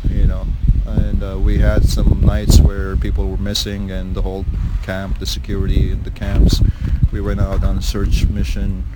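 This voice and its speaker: A man from Lebanon giving an unscripted interview outdoors, speaking in a hesitant, measured, earnest way. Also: low voice, monotone. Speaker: activist interviewee, prayer camp member. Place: outdoors near a river. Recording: loud wind noise on outdoor mic.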